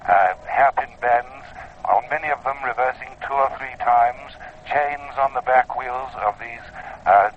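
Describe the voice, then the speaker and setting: Awed man on a thin, phone-like recording. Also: mid-range voice. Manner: grandiose, awed, halting. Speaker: expedition reporter. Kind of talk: unscripted on-location radio commentary. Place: outdoors, sheltering under a blanket in wind. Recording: phone-like, noisy vintage field recording. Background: noise, wind.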